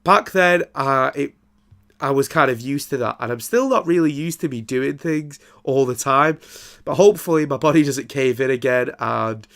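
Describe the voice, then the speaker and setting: A man's voice: mid-range voice, normal speed, fairly bright tone. A young man, congested and nasal from a throat infection, hosting a casual solo podcast and rambling with many ums and restarts. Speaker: podcast host.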